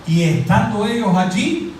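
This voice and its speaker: Animated Cuban pastor in an echoey hall. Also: male voice, high-pitched, unhurried, slight background noise. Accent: Cuban Spanish. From Cuba. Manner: animated, asks the crowd questions and jokes. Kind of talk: interactive sermon, calling on the congregation. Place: church hall. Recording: very echoey hall, mic at a distance.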